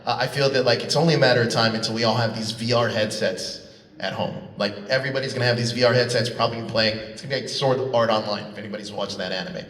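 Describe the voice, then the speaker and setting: A man's voice: medium pitch, steady tone, quick pace, fairly bright tone. A man moderating a live panel interview in a chatty, informal way. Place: large convention hall. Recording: echoey hall, distant PA sound.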